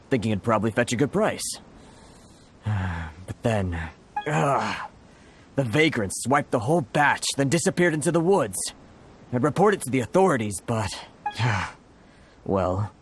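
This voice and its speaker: An actor playing an anxious, apologetic merchant in a video game, hesitant and flustered. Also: male voice, mid-range voice, a little hiss or hum, natural voice. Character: anxious, apologetic foreign merchant, self-pitying.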